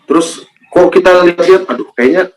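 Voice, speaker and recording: A young man from Central Java, a content creator chatting casually as an interview guest, relaxed and full of fillers. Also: high voice, brisk. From Purwokerto area, Central Java. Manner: relaxed, chatty, many fillers and repeated agreement.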